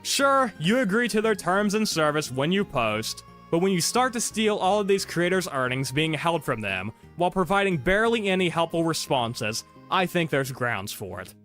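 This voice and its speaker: Hoarse, animated ranting man. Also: on the high side, moderate pace, hardly any pauses, faint music behind. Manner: exasperated, sarcastic ranting. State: hoarse, losing his voice from being sick. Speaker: content creator. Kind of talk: ranting commentary video.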